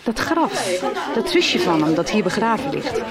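A woman's voice: fairly high pitch, big pitch swings, measured pace, over a noisy crowd. An actress playing an earnest woman in a radio soap, explaining things emphatically. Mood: puzzled, urgent. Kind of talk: 1990s radio soap acting.

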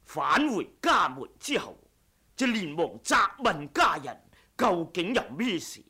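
An actor playing a cold, haughty martial-arts assassin in a radio drama, measured and sardonic in delivery. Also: male voice, high-pitched, very animated, sharp tone, husky, full character voice. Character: ruthless male wuxia assassin, cold and haughty. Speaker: audiobook voice actor.

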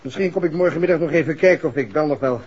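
An actor in a 1960s Dutch radio play playing a reassuring but firm family doctor. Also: male voice, on the high side, very fast, darkish tone, husky. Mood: firm, courteous. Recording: dull, muffled old radio recording.

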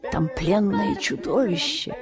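A woman's voice: mid-range voice, animated, a little slow, close to an everyday voice. A woman narrating a dramatized radio story, recalling childhood adventures as the storyteller. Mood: storytelling. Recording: music behind the voice.

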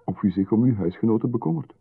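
An actor playing an intrusive, oddly demanding male visitor, calm and coolly insistent. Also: on the low side, fast talker, vocal fry, husky, exaggerated voice. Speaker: radio drama actor. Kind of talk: 1990s radio play acting. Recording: tinny narrow-band radio sound.

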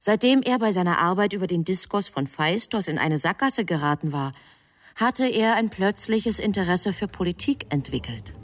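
A woman playing a composed, superior angel who narrates a divine plan in a radio play, with measured, wryly formal literary storytelling. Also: mid-range voice, animated, natural voice. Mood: calm narration.

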